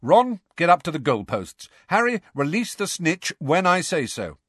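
A man's voice: high voice, big pitch swings, moderate pace, fairly bright tone, slightly rough, no echo, studio quality, voice pushed up, exaggerated voice. A man doing a bossy, barking young team captain. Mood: commanding. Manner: barking, commanding.

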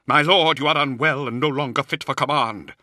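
A furious, imperious warrior, played by a male narrator, pitched well above his normal talk. He is forceful and roaring, a stern loyalist in a fantasy audiobook. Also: fairly quick, dry recording, clean studio sound. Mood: furious, commanding. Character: outraged, commanding warrior-psyker loyalist, male. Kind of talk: dramatic audiobook character acting.

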